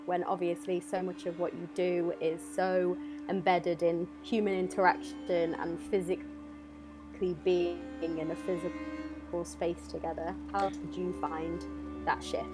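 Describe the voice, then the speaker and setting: A woman interviewer putting a question to her guests in a conversational interview. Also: fairly low pitch. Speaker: interviewer, theatre executive director.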